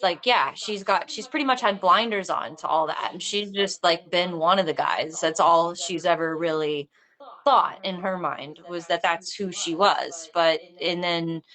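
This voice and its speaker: A woman talking casually in a panel discussion, thinking aloud in a halting, rambling way. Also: lowish voice, a bit bright. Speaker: filmmaker discussing own script.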